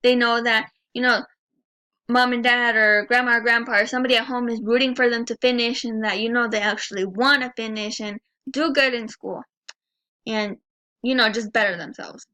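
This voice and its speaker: A young woman in her early twenties, a native Spanish speaker, presents to her class with a slightly high voice. She talks earnestly and personally, often restarting a phrase and working her way to the point. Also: steady tone, normal speed, bright, forceful tone. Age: early 20s. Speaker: student presenter.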